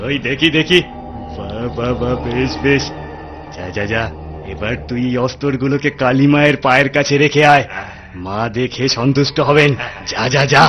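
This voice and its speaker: Man acting bandit gang boss, cartoon, bossy, scheming, scolding, mid-pitched male voice, faint background music. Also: normal speed, a bit bright, natural voice. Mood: pleased, bossy. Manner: commanding, scolding.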